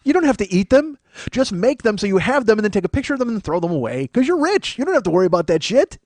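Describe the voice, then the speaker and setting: Excitable, profane male podcast host. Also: sits high, fast talker, no room sound. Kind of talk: rambling comedic monologue. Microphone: studio microphone.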